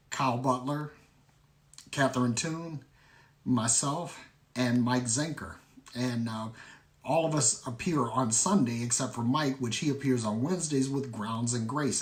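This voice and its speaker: A middle-aged American man with a slightly raspy voice, hosting an online ministry livestream in a casual, folksy way and addressing viewers directly. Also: mid-range voice. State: raspy voice today. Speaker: online ministry host and teacher. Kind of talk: casual livestream talk with shout-outs.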